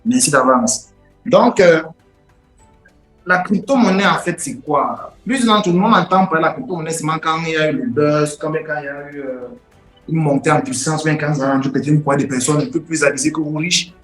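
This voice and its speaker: A man's voice: on the high side, brisk, a bit bright. A man, an English speaker, giving an informal lecture in an emphatic, repetitive way with rhetorical questions. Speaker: online course instructor. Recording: roomy echo with music behind.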